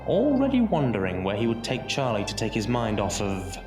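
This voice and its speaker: A man with a fairly low voice narrates an audio drama in a theatrical, deliberate way, pausing for effect. The room echoes around him, and music runs underneath. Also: huge pitch swings. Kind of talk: dramatic scripted storytelling narration. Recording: roomy echo under music.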